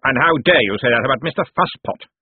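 A man's voice: mid-range voice, very animated, moderate pace, slightly rough, no echo, phone-quality sound, pitched above the performer's own voice. A man playing a smug, oily, scheming heir. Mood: strained composure. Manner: oily politeness, stern, self-important. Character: smug, scheming male heir trying to sound stately.

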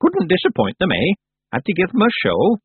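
A man's voice: huge pitch swings, a little fast, slightly rough, no room sound, full character voice. A man doing a bossy, showy old witch. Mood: proud. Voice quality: high, crotchety old lady voice. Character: proud, theatrical very old woman witch. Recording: tinny phone-like, dry sound.

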